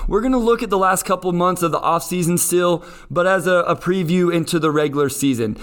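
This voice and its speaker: A man's voice: sits high, fairly quick, fairly bright tone. A man hosting a sports podcast in a conversational style. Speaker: podcast host.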